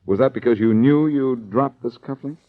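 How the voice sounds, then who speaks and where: An American actor playing a cocky, wisecracking amateur detective in 1940s radio style, flippant and quick-witted. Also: male voice, mid-range voice, natural voice. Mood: cool, probing. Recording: dull, lo-fi old radio recording.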